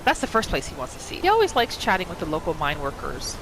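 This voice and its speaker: A woman giving expressive, storytelling third-person commentary as she roleplays through a video game. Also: lowish voice, quick pace, noisy background. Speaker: gamer narrating a roleplay playthrough. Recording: faint game music behind.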